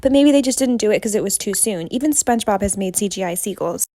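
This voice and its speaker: A woman delivers brisk podcast commentary in a soft, dark-toned voice. She speaks quickly and informatively, with a very dry, close sound. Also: medium pitch, lively intonation, dry recording. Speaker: podcast host giving updates.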